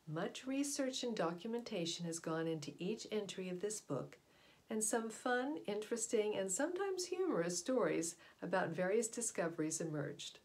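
A middle-aged woman reads a children's nonfiction book aloud with deliberate, expressive emphasis and explanatory asides, recorded indoors on a device at about arm's length. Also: mid-range voice. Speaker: read-aloud storyteller. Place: small indoor room at home, in front of wooden doors. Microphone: camera or device mic at about arm's length.

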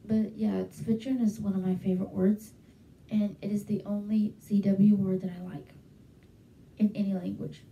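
A woman's voice: mid-range voice, level tone, moderate pace, creaky, mellow, dull audio. A young woman vlogging casually to camera from her bedroom, chatty and enthusiastic, with frequent fillers. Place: small bedroom at home. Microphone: handheld mic held close, webcam. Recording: roomy echo, from a distance.

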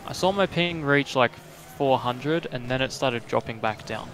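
A man's voice: mid-range voice, moderate pace, a little hiss or hum. A man chatting casually and rambling a bit while streaming a game with a friend. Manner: relaxed, rambling with fillers. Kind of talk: casual gaming chat.

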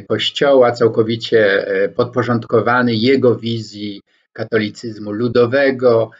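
A man in his sixties from Poland, giving a reflective lecture to camera from his home study, pausing often with hesitation sounds as he picks his words. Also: mid-range voice, slightly rough. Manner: slow and deliberate, frequent hesitation fillers, gestures with both hands. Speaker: scholar commentator. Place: home study with bookshelves, indoors. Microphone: webcam or laptop microphone, head-and-shoulders framing. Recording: dull lo-fi webcam sound.

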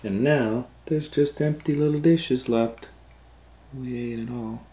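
A middle-aged man reading a picture book aloud to children in a relaxed, chatty way, sometimes dropping to a near whisper, recorded on a close camera mic at home. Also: mid-range voice, slow pace, slight background noise. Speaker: children's storytime reader. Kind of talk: casual read-aloud for kids.

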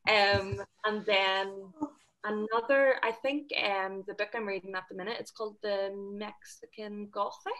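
A woman's voice: medium pitch, steady tone, a little slow, a bit bright, low-quality recording. Chatty Northern Irish young woman. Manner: chatty, filler-heavy, enthusiastic. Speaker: panel guest. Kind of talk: casual video call chat. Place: home room indoors. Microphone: laptop webcam on video call.

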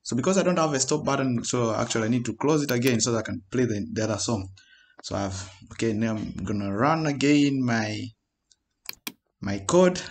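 A man giving an informal, halting screen-recorded programming tutorial as he types. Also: mid-range voice. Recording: noisy home recording with keyboard clicks. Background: keyboard typing and mouse clicks.

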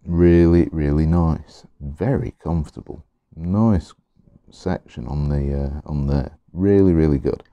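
A middle-aged man, slightly hoarse, chatting casually as he unboxes pens at his desk, rambling with many pauses and restarts. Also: very deep voice, no room sound, lo-fi sound. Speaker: pen collector vlogger. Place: indoors at a desk, overhead camera on hands.

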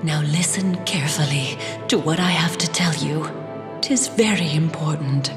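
A woman's voice: low-pitched, normal speed, music in the background, close to an everyday voice. A woman voicing a dignified, motherly fairy queen in a fantasy game, stately and formal. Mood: weak, solemn.

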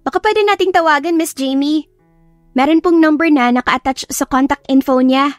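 A woman's voice: high voice, normal speed, no echo, voice pushed up, natural voice. A woman voicing a respectful, earnest young female secretary with a Filipino accent and a polite, helpful manner. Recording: music behind the voice.

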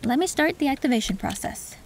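A woman voicing a female cyberpunk tech who works on an android, calm and matter-of-fact, in an audio roleplay. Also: sits high, brisk, slight background noise.

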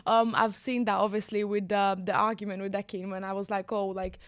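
A woman's voice: mid-range voice, little pitch movement, brisk, no room sound, clean studio sound. A young woman from Brazil with a Brazilian Portuguese accent, speaking candidly as a podcast guest and using many fillers. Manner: frequent "like" fillers, candid and reflective. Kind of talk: casual podcast interview. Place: small studio with sofa and purple lighting. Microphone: studio boom mic close up.

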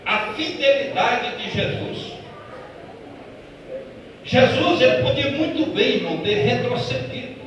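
A Brazilian Portuguese pastor with a fairly high voice preaches in a church, his voice rolling around a big echoing hall. He is picked up from across the room, with ambient noise underneath. He swells from hushed, quick asides into loud, slow, stretched-out declarations. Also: animated. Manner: preaching with swells from quiet to loud, drawn-out emphatic phrases; addresses listeners as "irmão" and asks them questions. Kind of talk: Pentecostal sermon. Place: church sanctuary. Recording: echoey church hall from a distance.

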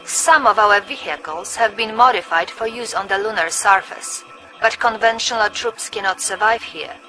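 An actress playing a devoted Soviet military intelligence officer with a Russian accent, giving measured, formal game briefings. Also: female voice, medium pitch, bright, forceful tone, natural voice, poor audio. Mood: businesslike. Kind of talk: video game briefing acting. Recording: music behind the voice.

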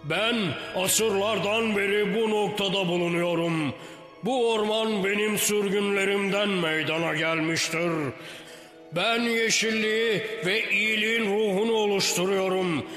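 A man voicing an ancient, gentle and solemn giant tree in a dubbed cartoon. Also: sits high, steady tone. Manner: slow, solemn. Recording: echoey long reverb, music behind.

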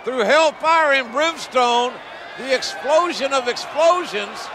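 A man's voice: extremely high pitch, slow pace. A man playing a grandiose, alarmed wrestling play-by-play announcer in a video game. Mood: dramatic, alarmed. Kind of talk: dramatic wrestling commentary. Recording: loud crowd roar, noisy game audio. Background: roaring arena crowd.

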